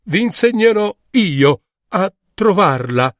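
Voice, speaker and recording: A fairy-tale wizard inventor, as a man acts him out, confident and mysterious. His voice sits high and moves slowly, drawing words out like a showman with halting pauses. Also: very animated, dry recording, studio quality, heavily acted.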